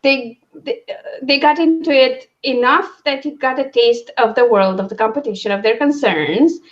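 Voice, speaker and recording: A woman hosting a casual livestream book discussion, thinking aloud in halting, broken phrases. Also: sits high. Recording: dull, lo-fi sound.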